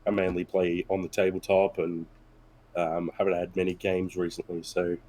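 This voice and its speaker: Player, male, very low voice, under the weather, halting and self-deprecating. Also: monotone, darkish tone, a little hiss or hum. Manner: hesitant, apologetic, many fillers. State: sick, losing his voice. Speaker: tabletop game player. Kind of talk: post-game discussion.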